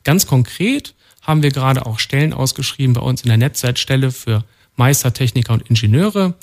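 Calm man explaining his work. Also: mid-range voice, brisk. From North Rhine-Westphalia, Germany. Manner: calm, explanatory, matter-of-fact. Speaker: studio guest, department head. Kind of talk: radio interview answers. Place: radio studio.

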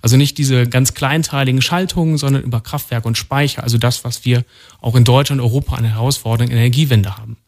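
Male guest from North Rhine-Westphalia, calm, matter-of-fact, mid-pitched. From North Rhine-Westphalia, Germany. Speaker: studio guest, department head. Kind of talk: radio interview answers. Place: radio studio.

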